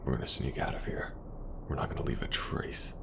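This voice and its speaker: A man playing a gruff, protective mercenary commander, speaking softly and reassuringly in an ASMR audio roleplay. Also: background noise, lightly acted. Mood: quiet, reassuring. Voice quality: gravelly, very deep. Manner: slow, soft-spoken, reassuring.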